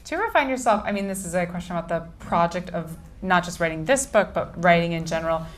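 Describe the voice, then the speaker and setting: Lady interviewer, lowish voice, rambly questions full of likes and ums, faint room hum. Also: fast. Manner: rambling, animated questions with many fillers. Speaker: event interviewer. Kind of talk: casual conversation at a book event. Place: bookstore event space.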